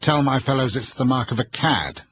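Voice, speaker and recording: A man's voice: medium pitch, lively intonation, moderate pace, fairly bright tone, dull audio, a little hiss or hum, voice pushed up, natural voice. A man voicing a pompous, boastful schoolmaster who speaks in hearty, clipped old-fashioned slang.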